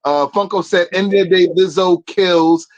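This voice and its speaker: A man's voice: high-pitched, steady tone, moderate pace, dull audio. Slangy New York man hosting. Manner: slangy, laid-back, laughs between phrases. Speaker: podcast host. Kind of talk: casual podcast chat.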